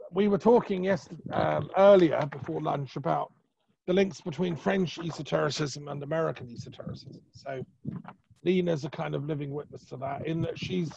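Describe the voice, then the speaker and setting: A man hosting an online conference and introducing a speaker informally, halting and often restarting, over muffled call audio. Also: a little high, gravelly, slight background noise.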